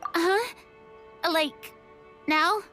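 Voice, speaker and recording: A woman's voice: very high-pitched, drawn-out words, sharp tone, full character voice. A woman voicing a young female monster hunter in a video game, inquisitive, practical and a little hesitant. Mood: doubtful, practical. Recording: music behind the voice.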